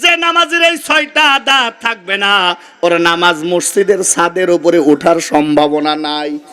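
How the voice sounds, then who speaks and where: A man's voice: high-pitched, unhurried. A Bangladeshi man preaching an Islamic sermon emphatically, repeating phrases and urging his listeners to respond. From Kushtia region, Bangladesh. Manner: emphatic, rhetorical repetition, exhorting listeners to answer. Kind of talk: fiery sermon with call-and-response. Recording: live gathering with crowd behind. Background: crowd of listeners.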